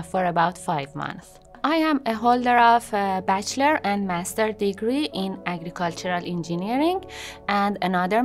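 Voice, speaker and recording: A woman in her thirties from Iran, a native Persian speaker, introducing herself to camera in a studio, with many hesitant fillers. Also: mid-range voice. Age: 30s. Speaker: job seeker introducing herself. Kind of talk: unscripted self-introduction to camera. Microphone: seated, medium shot.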